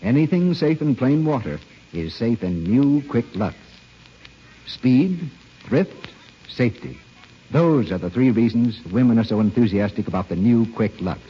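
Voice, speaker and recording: Man, radio announcer, scripted sales pitch, swooping intonation, muffled vintage recording. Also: animated, measured pace, husky. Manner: polished sales pitch, emphatic enumeration. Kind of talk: scripted 1930s-40s radio commercial and announcing. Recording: muffled old radio broadcast.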